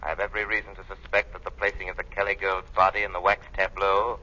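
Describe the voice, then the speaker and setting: A mysterious avenger played by a man speaks low with a very sharp, forceful edge. He is grave, formal and commanding, like someone issuing ominous warnings in an old radio play. The recording is somewhat dull. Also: completely flat delivery. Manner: authoritative, formal, deliberate warnings. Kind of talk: old-time radio drama acting.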